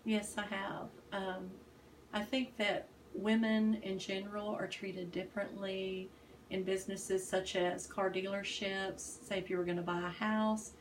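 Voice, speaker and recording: A woman from Texas, answering interview questions in a measured, thoughtful way. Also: mid-range voice, level tone. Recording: distant mic, faint noise.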